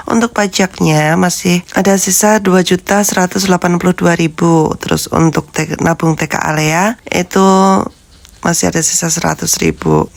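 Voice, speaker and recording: A woman vlogger with a hoarse, congested voice from a cold, chatting with viewers as friends and steadily reading out figures. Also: lowish voice, no echo. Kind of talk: casual budgeting vlog talk.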